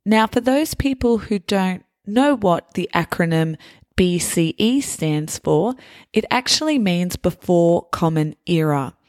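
A woman hosting a podcast for English learners, explaining words in a slow, careful, scripted way. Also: lowish voice, lively intonation, a little mellow, dry recording, studio quality. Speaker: podcast host.

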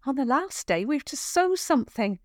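A woman voicing a brisk, confident young village girl in a children's story, matter-of-fact and a little bossy. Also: high voice, big pitch swings, darkish tone, no echo, clean studio sound, voice pushed up.

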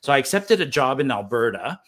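A man's voice: mid-range voice, big pitch swings, fairly quick, fairly bright tone. A man in his fifties from Ontario, a guest in a casual interview, rambling with many restarts. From Ontario, Canada. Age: 50s.

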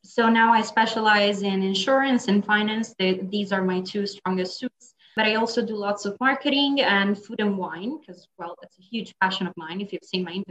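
A woman's voice: mid-range voice, moderate pace, hardly any pauses, a bit bright, a little hiss or hum, low-quality recording. A young adult woman with an Italian accent, a native Italian speaker, chatting as a podcast guest about her work as an interpreter, with frequent laughs and fillers. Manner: chatty, laughs often, many fillers and restarts.

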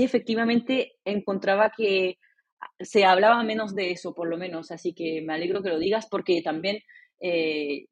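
Husky-voiced woman host, second-language speaker. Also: mid-range voice. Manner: speaks as a second-language speaker, friendly, hesitant with many fillers. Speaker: podcast host. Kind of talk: casual interview chat.

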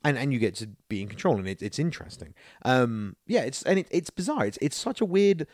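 A man's voice: medium pitch, sing-song, brisk, darkish tone, no room sound, clean studio sound. A man co-hosting a casual podcast, giving opinions in a rambling way with incredulous rhetorical questions. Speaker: podcast co-host.

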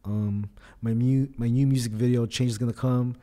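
A man's voice: mid-range voice, fairly even intonation, normal speed, warm tone. A man whose native language is Tagalog, chatting casually and slangily as a podcast guest, with friendly address and frequent conversational tags. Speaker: podcast guest, indie hip-hop artist. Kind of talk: casual chat.

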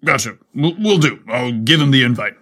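A man's voice: mid-range voice, animated, a bit bright. Man acting a flustered, stammering fire captain. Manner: halting, stammering.

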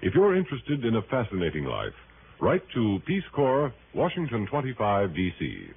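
A man's voice: on the low side, wildly swooping pitch, normal speed, vocal fry. A man reading a scripted public service announcement as a 1960s radio announcer. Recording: muffled 1960s radio recording, faint noise.

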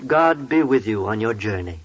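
An actor playing a devout old biblical priest in a 1940s radio drama, solemn and declamatory. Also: male voice, on the low side, animated, moderate pace, natural voice. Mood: grave, kindly. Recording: muffled 1940s radio recording.

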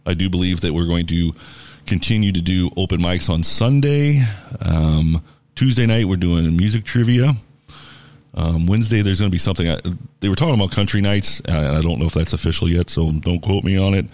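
A man with a very low, deep, booming voice hosts a live radio show. He chats loosely and casually, with plenty of ums and restarts. The tone is relaxed and unhurried. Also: no room sound. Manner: relaxed, rambling with many fillers. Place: bar and restaurant.

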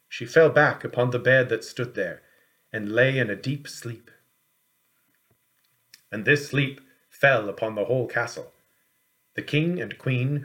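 A man narrating a storybook as an audiobook reader, in a measured, expressive storytelling manner. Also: mid-range voice.

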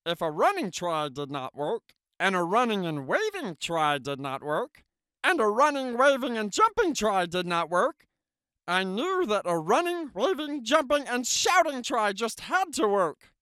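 A man's voice: sits high, sing-song, unhurried, a bit bright, smooth, dry recording, studio quality, voice pushed up, heavily acted. A man voicing Frog, a cheerful, patient grown male frog, reading a storybook aloud. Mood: encouraging. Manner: encouraging, storytelling.

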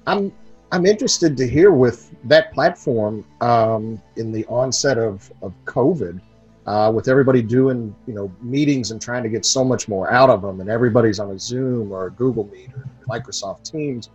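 A man co-hosting a podcast, asking thoughtful, halting questions. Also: mid-range voice, a little mellow, breathy edge, dull audio, faint music behind.

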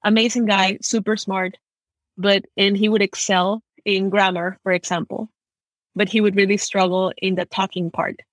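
A woman's voice: medium pitch, normal speed, no room sound. A young woman from Ecuador, a guest chatting casually in an interview, telling stories with lots of "like". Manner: chatty, uses lots of "like", animated storytelling.

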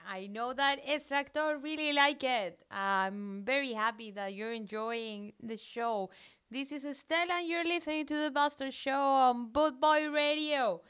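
Slow, bright Colombian woman hosting radio. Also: sits high, smooth. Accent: Colombian Spanish. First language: Spanish. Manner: halting, searching for English words. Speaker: radio show host. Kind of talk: casual radio chat.

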